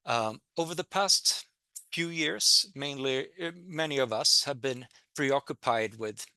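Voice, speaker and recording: Middle-aged Swede with a bright voice. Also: male voice, mid-range voice, a little slow, clean tone, no room sound. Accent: Swedish. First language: Swedish. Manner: hesitant, many fillers and restarts. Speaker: guest lecturer. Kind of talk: online public talk. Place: home in a town, by video link. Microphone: computer mic on a video call.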